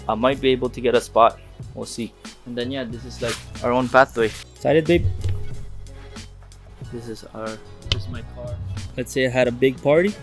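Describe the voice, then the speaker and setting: A young man in his twenties giving a casual, laid-back vlog tour of an empty basement suite, filmed on a handheld camera at arm's length. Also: medium pitch. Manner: laid-back, chatty, talks to the viewers. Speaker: vlogger.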